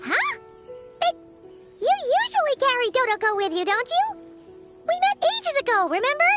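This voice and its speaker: A tiny, fussy fairy sidekick in a game, squeaking in an extremely high, swooping voice. She is chatty and excitable, with loud music playing underneath. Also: moderate pace. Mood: surprised. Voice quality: squeaky, childlike. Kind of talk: video game voice acting. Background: loud children's music.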